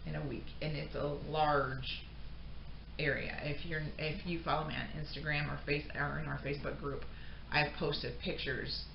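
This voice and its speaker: A woman's voice: sits low, little pitch movement, normal speed, vocal fry. A middle-aged American woman chatting casually to camera as a homestead vlogger, in a rambling, unscripted way that often breaks off mid-thought. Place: indoor pantry room with shelves of jars. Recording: dull, echoey room sound with faint noise.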